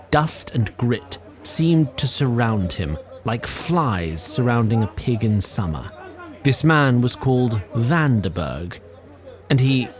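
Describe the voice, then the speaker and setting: A man's voice: medium pitch, natural voice. A man playing a chatty tree sprite narrator of a fantasy audio drama, formal and wry with fussy asides. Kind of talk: theatrical scripted fantasy narration.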